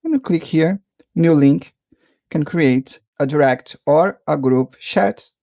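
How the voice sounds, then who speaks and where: A man narrating a casual software tutorial, explaining step by step in a halting way and checking in often. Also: a little high, creaky, no echo, studio quality. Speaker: software reviewer and tutorial presenter.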